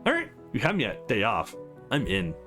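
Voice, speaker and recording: Wry young man as a male reader plays him, sarcastic, very animated pitch, game dialogue, faint music. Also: normal speed.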